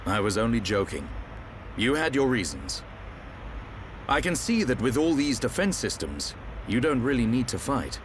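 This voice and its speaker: A man's voice: medium pitch, sing-song, moderate pace, a little hiss or hum, lightly acted. A man playing a seasoned, protective warrior leader in a video game, assured and wry in manner. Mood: wry, easygoing. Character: seasoned, level-headed male war hero, protective leader. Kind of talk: video game cutscene acting. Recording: faint outdoor ambience.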